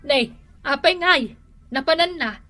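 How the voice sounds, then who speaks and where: A woman playing a sharp-tongued, complaining older mother with an Ilocano accent in a radio drama. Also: sits high, a little slow, faint music behind.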